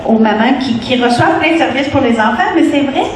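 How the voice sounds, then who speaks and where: A woman's voice: high-pitched, expressive, fast, noisy background. A woman moderating a panel, encouraging a guest and putting questions to her. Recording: echoey room, mic far off.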